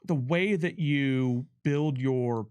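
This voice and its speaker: A man working as a game developer, talking casually on a livestream panel and answering questions in an explanatory, halting way as he thinks aloud. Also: mid-range voice, darkish tone, smooth.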